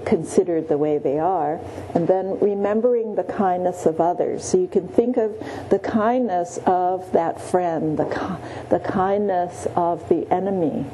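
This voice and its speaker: Slow, mellow-voiced woman meditation teacher. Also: on the low side, slight background noise, poor audio. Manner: gentle, many pauses and restarts, frequent "yeah". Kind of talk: guided Buddhist meditation teaching. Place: meditation room.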